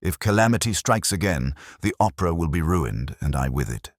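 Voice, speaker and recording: A man's voice: low voice, very animated, fairly quick, no room sound, clean studio sound. A man voicing a stern, desperate male police inspector in an audiobook, grave, urgent and emphatic. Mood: grim, determined. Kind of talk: audiobook character dialogue.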